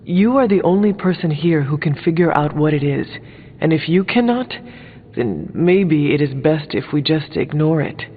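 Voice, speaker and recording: An actor playing a solemn, blunt warrior hero in a video game, speaking formally and deliberately. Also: male voice, a little high, lively intonation, slight background noise, close to an everyday voice. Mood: solemn, firm. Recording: muffled narrow-band sound with long reverb. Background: music.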